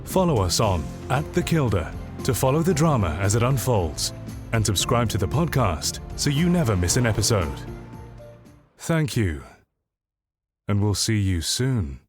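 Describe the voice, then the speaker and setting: A man narrating a scripted drama trailer in a deliberate, inviting and ominous way. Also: lowish voice, very animated. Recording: music bed behind the voice. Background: music.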